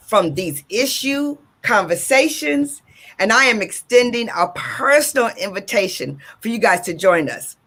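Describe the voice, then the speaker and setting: Bright punchy radio host lady, bubbly, keeps repeating stuff. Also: fairly high pitch. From Detroit, USA. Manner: exuberant, sing-song, repeats phrases for emphasis. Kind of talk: upbeat talk radio hosting.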